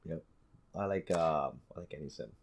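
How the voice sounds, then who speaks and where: A man co-hosting a podcast in casual chat, halting and repeating words for emphasis. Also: rumbling low. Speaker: podcast co-host. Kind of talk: casual chat about video games.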